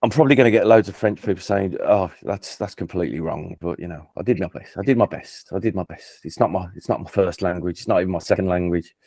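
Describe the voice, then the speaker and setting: A man's voice: low voice, a little fast, vocal fry, hardly any pauses, warm tone, no echo, studio quality. A man with a Birmingham accent hosting a casual football quiz, chatty and self-deprecating, often stumbling and restarting his sentences. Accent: Birmingham English. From England. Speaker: quiz host.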